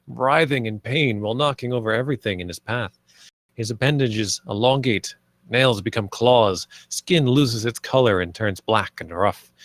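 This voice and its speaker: A man's voice: medium pitch, fairly bright tone, no echo. A man reading stage directions as scripted narration at a screenplay table read.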